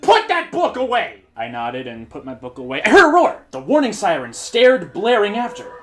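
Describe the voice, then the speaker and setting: A man hosting his channel, reading bad fanfiction aloud in an over-the-top, mocking dramatic style with sudden shouts. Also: a little high, huge pitch swings, brisk, soft background music. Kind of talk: mock-dramatic comedic reading.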